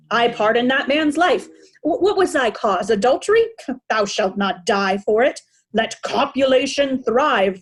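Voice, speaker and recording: A woman takes the part of an aged king gone mad, regal and ranting. The delivery is declamatory and scornful, at a middling pitch, in the manner of amateur Shakespeare reader's theatre. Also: close to an everyday voice. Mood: regal, grand, then mocking.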